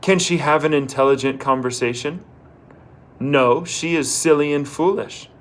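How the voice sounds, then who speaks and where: A man's voice: a little high, normal speed, natural voice. Man playing a blunt, bossy old woman fortune-teller. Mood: scornful. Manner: emphatic, instructing, asks rhetorical questions.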